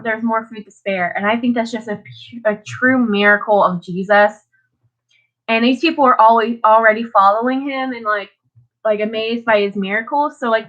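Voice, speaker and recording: A woman's voice: on the high side, lo-fi sound. Stuffy-nosed teenage girl rambling. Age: teens. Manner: rambling, many fillers and restarts. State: stuffed up, sniffling. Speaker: vlogger. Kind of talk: casual devotional talk.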